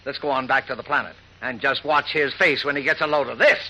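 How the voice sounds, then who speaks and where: A man's voice: mid-range voice, fairly even intonation, quick pace, over loud music, close to an everyday voice, rough recording. A man acting a hot-tempered, middle-aged newspaper editor in a brash, combative 1940s radio style. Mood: excited, gleeful. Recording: vintage radio recording.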